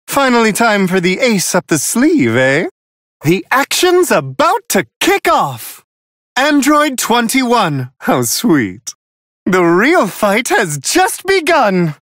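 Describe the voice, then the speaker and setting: A man's voice: extremely high pitch, fairly bright tone, no echo, clean studio sound, heavily acted. A man voicing a refined otherworldly angel who commentates video game fights with prim, serene, amused courtesy. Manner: prim, genteel, elongated formal phrasing.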